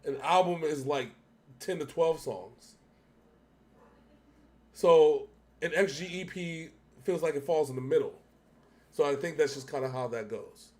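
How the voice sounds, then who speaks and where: A man's voice: fairly high pitch, a little slow, pauses often, a little mellow. A man hosting a casual music reaction chat, rambling and often correcting himself as he thinks aloud. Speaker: reaction show host.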